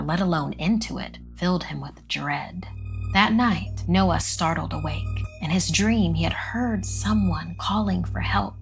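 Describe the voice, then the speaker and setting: A woman storyteller giving a dramatic scripted horror narration, with suspenseful phrasing and pauses. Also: on the low side.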